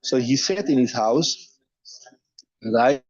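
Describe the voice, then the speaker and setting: A man's voice: medium pitch, expressive, slow pace, no echo, muffled recording. A man teaching a hadith class, reading each line aloud and then explaining it step by step.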